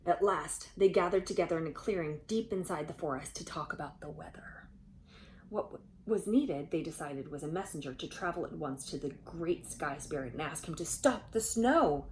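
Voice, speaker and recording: A woman reading a picture book aloud to children as a storyteller, animated and pausing to put questions to her young listeners. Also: low voice, a little hiss or hum, poor audio.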